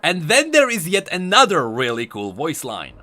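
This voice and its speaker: A man's voice: fairly high pitch, wildly swooping pitch, normal speed, pushed and bright. A man doing an enthusiastic, conversational voice-over commentary as a video host. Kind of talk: game lore commentary voice-over.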